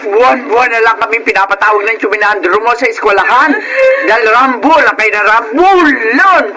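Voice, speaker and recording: A woman's voice: fairly high pitch, moderate pace, crowd noise, close to an everyday voice. Woman acting a Filipino mother, exasperated laundrywoman. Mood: exasperated, scolding. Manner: nagging, exasperated. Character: exasperated, scolding working-class mother, a laundrywoman. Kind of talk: radio drama acting.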